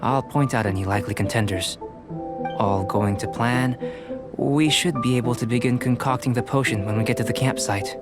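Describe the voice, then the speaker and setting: A man voicing a calm young alchemist. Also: mid-range voice, natural voice. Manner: measured, calm, explanatory. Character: young male alchemist, calm, curious and composed. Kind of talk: game cutscene voice acting. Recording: game music mixed in. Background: game soundtrack music.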